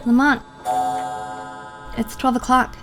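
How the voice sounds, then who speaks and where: A woman's voice: high-pitched, flat delivery, slow pace, over music, low-quality recording. An actress playing a crime fighter's worried, devoted woman companion in an old-time radio drama, fretful and pleading. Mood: anxious.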